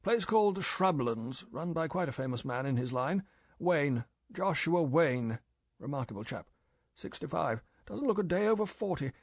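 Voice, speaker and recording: A man's voice: fairly high pitch, animated, normal speed, no echo, pitched above the performer's own voice. A man reading an audiobook, voicing M, a stern older male spy chief who lectures in a clipped, scolding way. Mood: brisk cheerful.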